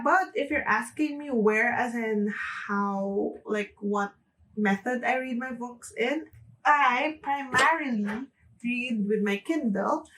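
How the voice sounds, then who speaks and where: A woman's voice: a little high, a bit bright, distant mic, rough recording. A young woman from the Philippines with a Filipino accent, chatting casually to camera and often saying "like". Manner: chatty, frequent "like" fillers, light laughs. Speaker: booktuber.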